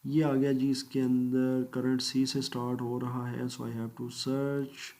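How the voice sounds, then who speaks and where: Man, mid-pitched, patient step-by-step software tutorial narration. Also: completely flat delivery, slow pace. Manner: methodical step-by-step explaining, polite "ji" and "janab" asides; mixes English phrases into speech. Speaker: computer course instructor. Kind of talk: screen-recorded software tutorial.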